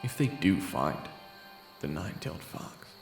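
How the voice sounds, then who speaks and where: A man narrates a fantasy audio roleplay in the first and second person, dropping the odd curse. His voice is somewhat low and sounds roomy and echoey. Game music plays loudly behind him throughout. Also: very animated, a little slow. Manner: second-person storytelling with occasional swearing. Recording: echoey room, loud music underneath. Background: loud game soundtrack music.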